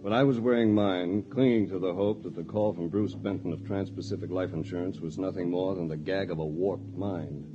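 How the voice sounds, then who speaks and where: A man's voice: sits low, fairly even intonation, a little fast, few pauses, over music, close to an everyday voice. A man playing a dry, urbane adventurer in a 1940s radio drama. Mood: wry. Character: wry, worldly male adventurer, former lawyer, narrating. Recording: muffled old radio, crowd noise behind.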